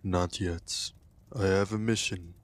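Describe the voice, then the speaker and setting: Male actor as devoted, brooding warrior father in a podcast drama. Low register, little swing in pitch, measured storytelling. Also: fairly even intonation. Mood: quiet, earnest. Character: devoted ninja father, brooding skilled warrior, telling a bedtime legend.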